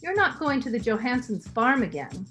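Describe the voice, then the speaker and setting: A woman playing a gentle, anxious, devoted wife in an old-time radio melodrama, pleading and timid. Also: a little high, very animated, normal speed, faint music behind. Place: remote recording over Zoom.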